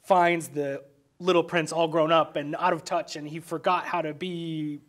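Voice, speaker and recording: A man's voice: on the high side, flat delivery, normal speed, a bit bright. A man chatting casually about a film as a guest, explaining things in a loose, rambling way. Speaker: podcast guest, graphic designer.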